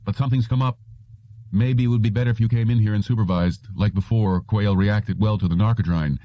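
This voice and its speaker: A man's voice: lowish voice, fairly even intonation, brisk, pitched above the performer's own voice, natural voice. A man voicing an apologetic, worried male technician in an audiobook. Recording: roomy, dull lo-fi audio.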